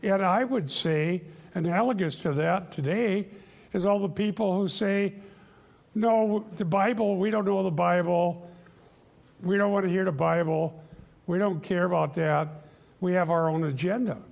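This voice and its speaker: Gravelly older man teaching scripture. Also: high-pitched, a little mellow. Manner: earnest, emphatic teaching with pauses. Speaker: radio show host and pastor. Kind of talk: Bible teaching and discussion.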